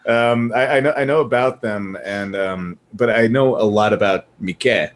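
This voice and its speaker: A man on a casual movie panel, talking in an animated, joking, halting way with some swearing. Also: fairly low pitch. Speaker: podcast panelist.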